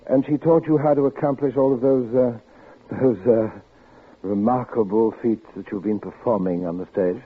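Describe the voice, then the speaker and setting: An actor playing a quiet, poetic and unsettling gentleman suitor in a 1950s radio drama. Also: medium pitch, steady tone, moderate pace, husky, natural voice. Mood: probing. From London. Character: soft-spoken, poetic, creepy gentleman suitor. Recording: tinny old radio broadcast with faint hiss.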